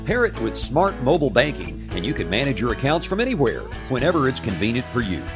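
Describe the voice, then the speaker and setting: A man's voice: medium pitch, fast. A man announcing a bank commercial in a polished, promotional scripted read. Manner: lively, polished promotional delivery. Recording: ad over clear guitar music.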